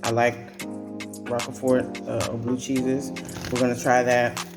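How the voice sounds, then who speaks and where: A woman's voice: a bass voice, level tone, a little slow, over music. A woman in her thirties hosting a casual cooking vlog from her home kitchen, talking in a chatty, rambling way with restarts. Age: 30s. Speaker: vlogger and mother. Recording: echoey kitchen.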